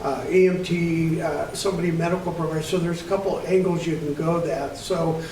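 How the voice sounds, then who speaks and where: A man's voice: on the high side, steady tone, fairly quick, darkish tone, slight background noise, low-quality recording. A man answering audience questions on a panel as an emergency preparedness trainer, explaining at length with many restarts.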